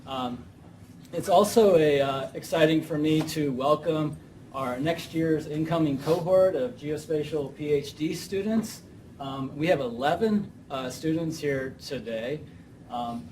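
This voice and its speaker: A man, director of a research center, introduces a guest speaker with halting, filler-filled phrasing. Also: mid-range voice, a little mellow. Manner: hesitant, many fillers. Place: lecture room. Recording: distant mic, noisy room.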